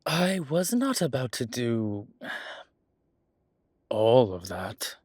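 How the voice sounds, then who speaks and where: A man playing a centuries-old vampire, a genial but weary former soldier who speaks haltingly and deliberately in an audio roleplay. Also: medium pitch, very animated, darkish tone, husky, no room sound, studio quality, full character voice. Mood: wry, defensive. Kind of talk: dramatic audio roleplay acting.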